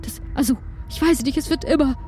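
A woman's voice: sits high, a little fast, warm tone, slight background noise, close to an everyday voice. A woman playing a timid, fretful female companion in an improvised audio drama, nervous and stammering. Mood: anxious, pleading.

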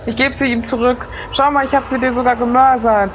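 A woman's voice: on the high side, fairly even intonation, fairly quick, slight background noise, poor audio. A woman improvising in character as a player in a tabletop role-playing game. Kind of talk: improvised in-character chat and play.

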